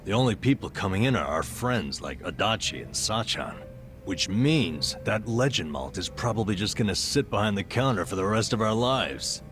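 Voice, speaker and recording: A man's voice: lowish voice, animated, normal speed, fairly bright tone, soft background music. An actor playing a stressed, boastful middle-aged vagabond in a video game cutscene, telling his tales dramatically and colloquially. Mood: relieved.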